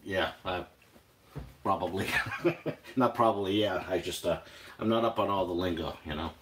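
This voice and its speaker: An older man with a New England accent talks through his cooking on a live stream, drifting between topics and filling the pauses with "uh". His voice sits very low, and a faint hiss with small clicks runs under the recording. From Boston area, New England. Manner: rambling, chatty, many fillers and restarts. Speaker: cooking livestream host. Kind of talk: casual live cooking chat.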